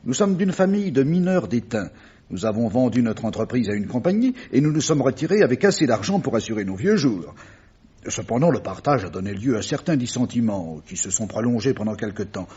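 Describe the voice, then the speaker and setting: A bereaved, shaken brother from a mining family in a radio drama. His voice is very raspy and rises and falls a lot, earnest and halting. The sound is muffled and lo-fi. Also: medium pitch, sing-song, dark tone. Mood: earnest, uneasy. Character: shaken retired tin-mining man, bereaved brother and witness. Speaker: actor in a radio mystery play. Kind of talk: French radio drama acting.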